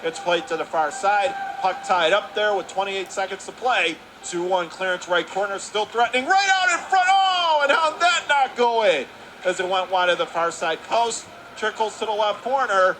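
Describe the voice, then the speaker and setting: A man doing radio play-by-play of a hockey game, calling fast and rising sharply into excitement on goals. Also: high-pitched. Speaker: play-by-play announcer. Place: arena broadcast position. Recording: noisy arena broadcast.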